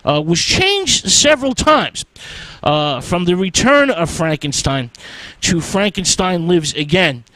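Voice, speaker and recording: A man hosting a radio segment on film history, talking slowly with frequent "uh" fillers and halting pauses. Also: high voice, very animated, pushed and bright, dry recording, low-quality recording. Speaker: radio host.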